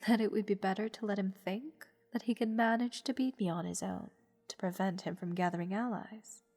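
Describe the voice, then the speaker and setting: A woman voicing a playful, haughty goddess in an intimate fantasy audio roleplay, teasing and condescending toward a captive mortal in measured, theatrical speech. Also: mid-range voice, fairly even intonation, a little mellow, close to an everyday voice. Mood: pondering. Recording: long reverb with music behind. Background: orchestral music.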